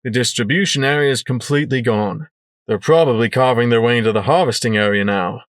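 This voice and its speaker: A man voicing a terse male pony scout giving brisk, matter-of-fact reports. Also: mid-range voice, no room sound, clean studio sound, natural voice. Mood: grim.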